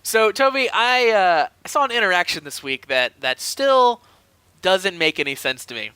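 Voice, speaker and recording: A man co-hosting a casual comedy podcast, telling stories in an animated, chuckling way. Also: high voice, normal speed, over loud music. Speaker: podcast co-host. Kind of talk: casual comedic storytelling chat.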